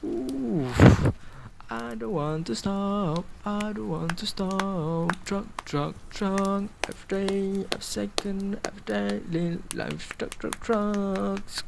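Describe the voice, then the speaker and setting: A man's voice: high voice, slow, creaky, very noisy, exaggerated voice. An actor playing a manic twenty-year-old male drug addict in an amateur radio drama, rambling and repeating himself erratically.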